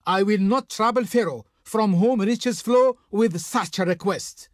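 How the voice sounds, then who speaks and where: A man's voice: high voice, measured pace, no echo, studio quality. A man playing a haughty, flowery envoy of an Egyptian Pharaoh in a video game, speaking in a pompous, declamatory manner. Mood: haughty, dismissive. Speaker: game voice actor playing a diplomat. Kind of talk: theatrical scripted game dialogue.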